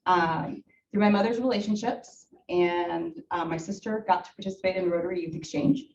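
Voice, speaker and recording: A woman's voice: lowish voice, normal speed, a little hiss or hum. A woman in her thirties from Ukraine, a native Russian speaker, giving a personal talk to a club with many fillers and restarts. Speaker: guest speaker. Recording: echoey room from far off, dull.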